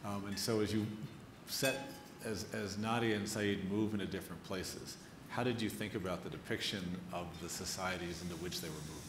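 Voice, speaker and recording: A man moderating a literary conversation on stage, deliberately building up his questions in short phrases. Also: sits low, fairly even intonation. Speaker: interviewer, moderator. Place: live stage event in an echoey venue. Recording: echoey room, clearly noisy.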